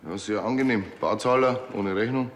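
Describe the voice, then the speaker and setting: A man's voice: on the low side, moderate pace, slight background noise, natural voice. A man playing a cheeky mechanic, echoey room. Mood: casual, evasive. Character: cheeky, shrewd male workshop mechanic. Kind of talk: TV crime comedy acting. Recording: echoey, reverberant room with faint music.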